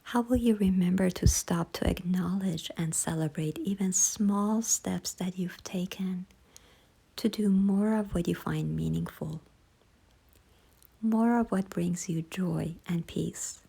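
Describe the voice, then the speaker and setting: A woman leading a guided visualization in a soft, hushed, breathy voice, gentle, unhurried and soothing. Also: fairly low pitch. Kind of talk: slow guided meditation narration.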